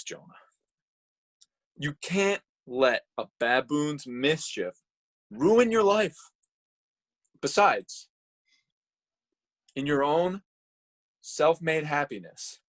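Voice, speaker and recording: A man plays a serene, preachy coworker who once stole sandwiches and now swears by sushi. His husky voice moves slowly and swings widely in pitch. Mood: pleading. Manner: calm, preachy, dramatic swings. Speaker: voice actor. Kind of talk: amateur dramatic reading.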